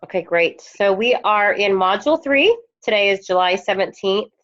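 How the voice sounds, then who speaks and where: A woman's voice: medium pitch, normal speed, fairly bright tone. A woman instructor who is losing her voice, giving an online course lecture into a headphone mic and hesitating often with ums. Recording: dull lo-fi recording.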